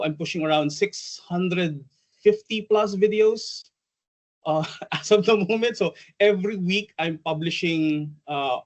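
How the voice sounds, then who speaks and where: A man with a Filipino accent, probably in his forties, giving an online workshop in a chatty, hesitant way, full of ums and uhs. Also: on the high side. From Philippines. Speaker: trainer and presenter. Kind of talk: online conference workshop presentation.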